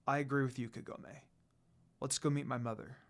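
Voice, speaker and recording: Guy doing a cool demon lord in love, low quiet voice, measured and serious, fanfic story. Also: fairly even intonation, gravelly, close to an everyday voice. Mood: calm, fond. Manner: low, soft, measured, earnest. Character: solemn, tender, cool-headed male demon lord in love.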